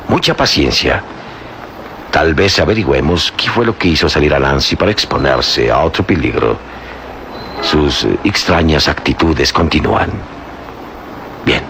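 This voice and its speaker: A calm, commanding hero speaks in an extremely deep voice with lively, expressive intonation. His manner is assured and measured, and he laughs softly now and then. The vintage radio drama carries noticeable noise. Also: heavily acted. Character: calm, commanding male hero, mystic adventurer.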